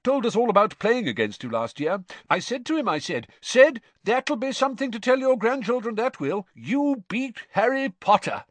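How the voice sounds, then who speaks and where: A man voicing a loud, genial middle-aged wizard father in an audiobook, hearty and boastful. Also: very animated, moderate pace, hardly any pauses, dry recording, heavily acted. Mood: proud boasting. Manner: hearty, exclamatory, booming.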